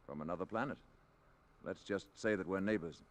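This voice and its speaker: An actor playing a calm, superior alien emissary in a 1950s radio drama, measured, formal and cool. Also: male voice, sits low, lightly acted. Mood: cool, courteous.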